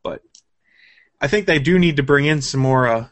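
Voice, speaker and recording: A man co-hosting a casual news chat on games and comics, giving his opinions in a conversational, measured way. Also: mid-range voice, expressive, a bit bright. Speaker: show host.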